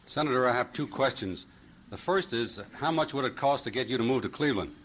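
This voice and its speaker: A man's voice: medium pitch, lively intonation, a little fast, a bit bright. A man poses questions to a speaker as an audience member at a public forum. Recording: faintly noisy 1970s live recording.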